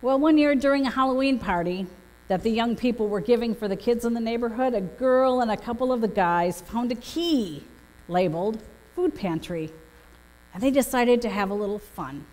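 A woman's voice: fairly high pitch, expressive, moderate pace, darkish tone. A middle-aged woman pastor delivering a prepared sermon with storytelling from a church pulpit. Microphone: gooseneck pulpit microphone. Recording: echoing church hall, long reverb.

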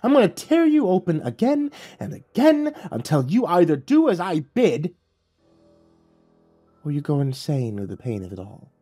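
A man voicing a cruel, mocking male devil in an audiobook, with a taunting, drawn-out delivery. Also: a little high, huge pitch swings, mellow, voice pushed up. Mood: menacing. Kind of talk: audiobook character voice.

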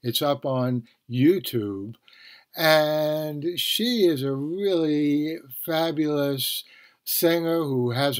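Slow-talking older man, slightly high voice. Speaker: podcast host, author and professor. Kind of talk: casual podcast talk.

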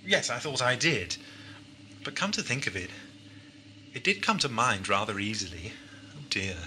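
A man's voice: medium pitch, sing-song, normal speed, bright, forceful tone. Man acting absent-minded time traveller guy, whimsical and hesitant, sci-fi radio play, lots of tape noise. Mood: troubled musing. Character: newly regenerated time-travelling Doctor, male, absent-minded, genial. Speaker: actor. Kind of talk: 1980s amateur sci-fi audio drama acting. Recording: noisy old cassette audio drama.

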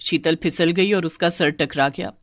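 A woman's voice: low voice, normal speed, slight background noise. A woman narrating a scripted moral story.

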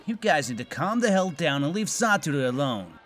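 A man voicing an aloof, self-important schoolboy in an anime parody dub, deadpan and emphatic as he lectures friends. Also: on the high side, a little fast, a faint crowd. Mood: lecturing.

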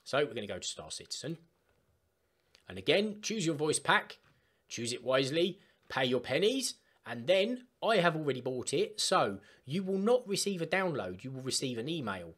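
A man presenting an informal step-by-step software tutorial in an emphatic, instructional way. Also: fairly high pitch, sing-song, normal speed. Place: voice-over for screen recording.

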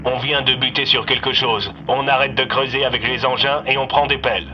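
A man's voice: mid-range voice, fairly even intonation, a little fast, faint music behind. Man as a clipped soldier on muffled radio. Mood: businesslike. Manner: formal, clipped. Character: brisk military men talking over radio. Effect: radio filter.

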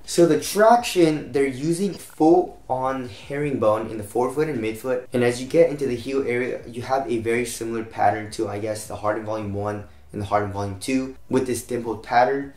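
A young American man with a slightly raspy voice, getting over a cold, giving a casual review to camera as a channel host. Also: medium pitch. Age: young adult. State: recovering from a cold. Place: small home room, indoors, at a desk. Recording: roomy echo.

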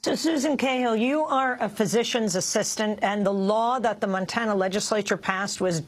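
A woman's voice: medium pitch, normal speed. A woman hosting a news program, putting direct questions to her guest. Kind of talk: broadcast news interviewing.

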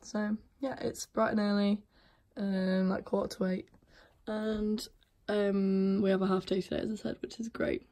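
A woman's voice: medium pitch, flat delivery, a little slow. A teenage woman with a Yorkshire accent, chatting casually to a phone at arm's length in her bedroom about her day. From England. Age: teens. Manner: chatty, soft-spoken, filler-heavy. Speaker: vlogger. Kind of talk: casual vlog narration. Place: bedroom at home, indoors. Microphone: phone held at arm's length.

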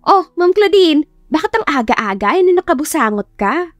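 Playful young female teacher voiced by a woman, bubbly tease, high-pitched, audiobook romance. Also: big pitch swings, moderate pace, dry recording, faint music behind. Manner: teasing, exclamatory, playful. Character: teasing, giggly young woman teacher, playful best friend.

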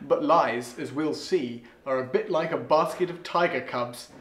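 A man's voice: fairly high pitch, measured pace, echoey room, close to an everyday voice. A young man playing a gleeful, odd children's storytime host in a theatrical, chuckle-prone parody style, recorded in a small room. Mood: gleefully measured. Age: young adult.

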